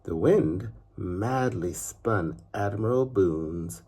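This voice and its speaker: A man narrating a children's story expressively, with deliberate phrasing and dramatic pauses. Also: fairly low pitch, lively intonation, darkish tone, husky.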